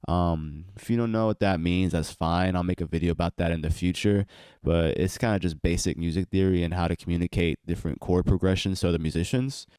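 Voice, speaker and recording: A man's voice: deep voice, fairly even intonation, a little fast, warm tone, clean tone, no echo. A young man with a stuffy, congested voice from allergies, casually walking through how he produced a beat in a home studio. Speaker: beat producer and channel host. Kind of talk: casual tutorial walkthrough.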